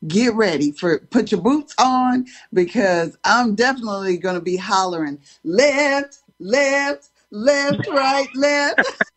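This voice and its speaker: Female co-host, excited and grateful, unhurried delivery. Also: fairly high pitch. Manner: enthusiastic, warmly thankful. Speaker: podcast co-host. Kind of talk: casual chat with preaching tone.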